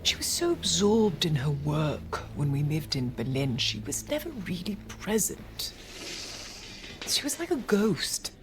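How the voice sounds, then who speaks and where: An actress playing a grand, worldly dame who collects antiquities and dabbles in spying in a video game, speaking in an arch, genteel, droll way. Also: female voice, low voice, sing-song, measured pace, noisy background. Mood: wistful, wry. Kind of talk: video game cutscene acting.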